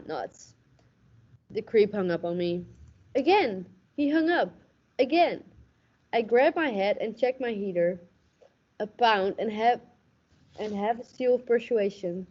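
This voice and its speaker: A young woman playing a cynical, hardboiled police lieutenant with deadpan, tough-talking noir narration in a radio play parody. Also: on the high side. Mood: flustered. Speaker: student radio play actor. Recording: dull, muffled lo-fi recording.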